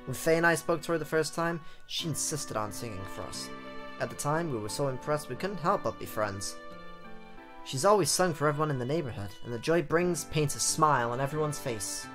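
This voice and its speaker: A man's voice: fairly high pitch, lively intonation, brisk. A man hosting a let's play, reading a visual novel aloud in a playful, theatrical way with jokey asides. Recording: game music behind the voice. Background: game music.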